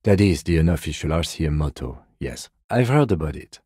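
A very low-voiced, reserved police detective from a video game. He speaks in a dark, slightly husky tone, formal and measured, with deadpan understatement and dry wit. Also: no echo, exaggerated voice. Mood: measured, wry. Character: reserved, dry-witted, principled male police detective partner. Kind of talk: scripted video game dialogue lines.

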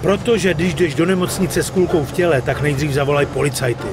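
An actor playing a brash, joking, foul-mouthed gangster sidekick in a dubbed game, flippant and gravelly. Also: male voice, fairly high pitch, steady tone, over music, natural voice. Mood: casual, explaining. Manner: flippant, blunt. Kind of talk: dubbed video game drama.

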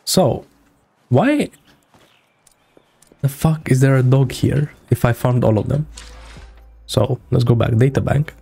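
A man's voice: mid-range voice, expressive, slow, gravelly, long pauses, mellow, husky. A man in his thirties giving casual, joking and sweary live reactions while streaming a game. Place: small indoor streaming room. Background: game music.

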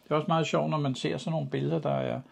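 A man's voice: fairly high pitch, steady tone, vocal fry, darkish tone, breathy edge. A man, chatting casually as he looks back over pictures. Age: 60s. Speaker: video podcast host and camping journalist. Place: small home office with bookshelves, indoors. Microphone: clip-on lavalier.

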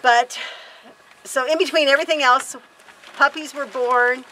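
A woman's voice: sits high, fairly even intonation, measured pace, bright, forceful tone, slight background noise, poor audio. A woman in her fifties chatting casually to camera outdoors on a wooden deck, rambling and correcting herself as she talks. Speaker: homesteader vlog host.

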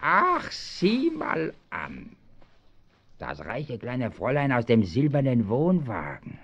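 An actor playing a gruff, threatening caravan thief with a sneering manner in a German children's radio play. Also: male voice, medium pitch, huge pitch swings, takes it slowly, fairly bright tone, heavily acted. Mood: menacing, sneering. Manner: menacing, sneering. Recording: dull 1970s audio drama recording.

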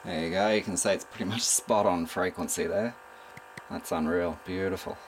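Male hobbyist presenter, very low pitch, informal demo delivery, camera mic with clear background noise. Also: moderate pace. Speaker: radio hobbyist presenting his equipment. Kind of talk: casual unscripted product demonstration. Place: indoors at a desk, behind the camera.

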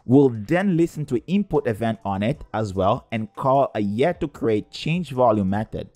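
A man's voice: medium pitch, lively intonation, moderate pace, darkish tone, no echo, faint music behind. A man narrating a scripted step-by-step coding tutorial in a methodical, explanatory way. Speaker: tutorial presenter.

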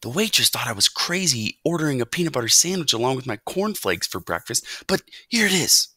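A young storybook boy, put on by a woman, talking like a friendly co-conspirator. The voice sits a little raised, bright and animated, with a slightly bossy, confiding manner. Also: extremely low pitch, fairly quick, breathy edge, no room sound, studio quality, pitched above the performer's own voice, full character voice. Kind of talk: children's storybook character voice.

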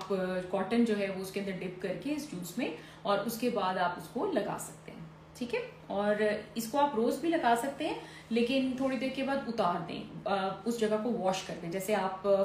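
A woman's voice: mid-range voice, animated, normal speed, a little mellow. Lady skin doctor in her 40s answering live questions, chatty, lots of "uh"s, echoey room with a bit of hiss. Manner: conversational and advisory, with frequent "uh" fillers. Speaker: dermatologist answering viewer questions. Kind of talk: live-stream Q&A, reading comments aloud. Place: indoor room, seated in a fur-draped chair. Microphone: phone or camera on a stand, mid framing.

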